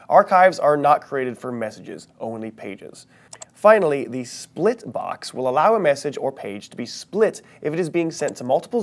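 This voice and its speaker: A man in his thirties narrating a scripted software training tutorial, explaining step by step with emphasis on key terms. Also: medium pitch. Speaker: training video presenter.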